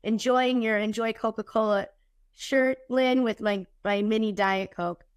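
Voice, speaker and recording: Husky woman with a cold, high voice. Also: steady tone, dry recording, clean studio sound. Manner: candid, quick, joking. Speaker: podcast guest, keynote speaker. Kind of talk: casual chat.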